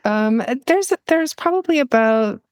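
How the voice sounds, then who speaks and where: A woman speaking as an interview guest in a reflective, personal way, halting thoughtfully as she searches for words. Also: a little high, animated, no echo, clean studio sound. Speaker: podcast guest, poet.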